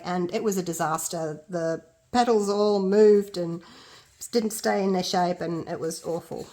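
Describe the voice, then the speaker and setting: A woman's voice: mid-range voice, darkish tone. A middle-aged Australian woman talks through a craft demonstration in an easy, chatty way. Her nose is blocked up, so her voice sounds congested, and she thinks aloud and pokes fun at herself as she goes. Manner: chatty, self-deprecating, thinking aloud while working. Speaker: resin art tutor. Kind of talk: casual craft tutorial.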